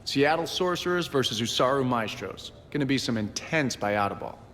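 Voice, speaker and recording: Male crewman, acted: mid-pitched, friendly, teasing sports fan, casual banter. Reverberant space, slight noise. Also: animated, normal speed, fairly bright tone, natural voice. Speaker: video game voice actor. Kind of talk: casual game dialogue acting. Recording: reverberant room, faint ambient noise.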